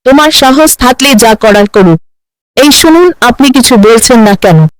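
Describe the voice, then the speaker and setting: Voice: medium pitch, expressive, moderate pace, no echo, lightly acted, rough recording. A performer voicing a bold, defiant housewife with an argumentative manner in a cartoon fairy tale. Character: bold, defiant housewife who refuses to budge.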